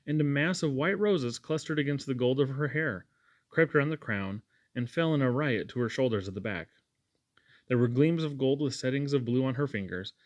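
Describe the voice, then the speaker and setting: A man narrates a novel as an audiobook reader. His voice sits at an ordinary male pitch, and the sound is dry with very little room around it. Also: no room sound. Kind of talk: scripted storybook narration.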